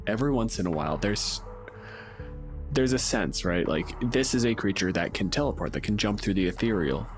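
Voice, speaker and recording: A man's voice: on the low side, lively intonation, brisk, soft background music. A man narrating a tabletop game as game master in a conversational, descriptive storytelling way.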